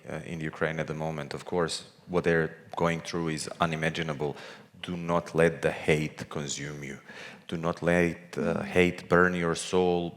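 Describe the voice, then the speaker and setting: Guy on a panel, low voice, earnest and emphatic, big reverby hall. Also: a bit bright. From Montenegro. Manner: emphatic, repeats phrases. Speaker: panel speaker. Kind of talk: earnest public panel speech. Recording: echoey hall with long reverb.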